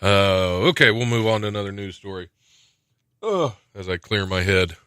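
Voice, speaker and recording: A man's voice: on the low side, lively intonation, moderate pace, sharp tone, clean tone, no echo, studio quality. An American man of about forty hosting a podcast, chatting casually and reading odd news items with joking self-deprecation. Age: around 40. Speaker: podcast host. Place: small studio. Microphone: close studio mic on a stand.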